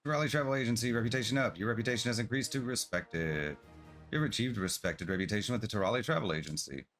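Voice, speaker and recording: A man's voice: lowish voice, quick pace, a bit bright, faint music behind. A man streaming a video game, reading quest text aloud and adding casual comments.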